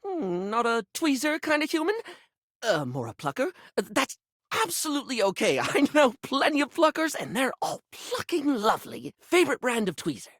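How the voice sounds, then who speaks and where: A man's voice: very high voice, wildly swooping pitch, normal speed, a bit bright, no room sound, studio quality, exaggerated voice. A male actor playing a flamboyant, fussy beauty-product character in a video game, theatrical and effusive, anxious to please. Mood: reassuring, chirpy. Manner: theatrical, effusive, fretful, peppered with endearments.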